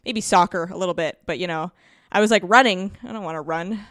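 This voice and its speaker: A woman's voice: on the low side, fast talker, a bit bright, no room sound, clean studio sound. A woman guesting on a podcast, chatting casually and acting out little quotes in her anecdotes. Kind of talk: casual chat with personal anecdotes.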